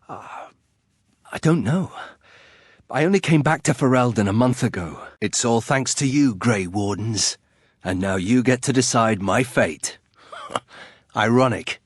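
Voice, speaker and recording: An actor playing a proud, brooding young nobleman archer in a video game, husky, with a terse, wry, guarded manner. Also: medium pitch, lively intonation, measured pace, no echo, clean studio sound. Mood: wry, bitter. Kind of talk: video game character acting.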